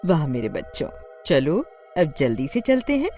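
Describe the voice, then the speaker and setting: Anxious protective mother, story role, played by a woman: fretful, animated, mellow, faint music. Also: low-pitched, moderate pace, heavily acted. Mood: scolding. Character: anxious young mother, scolding yet protective.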